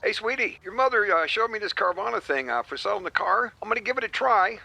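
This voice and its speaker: A man plays a folksy older dad in a commercial, rambling happily into a voicemail. His voice is very high, bright and gravelly, recorded dry and close, full of affection. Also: lively intonation, quick pace, dry recording, heavily acted. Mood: pleasantly amazed. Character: chatty older dad leaving a loving voicemail.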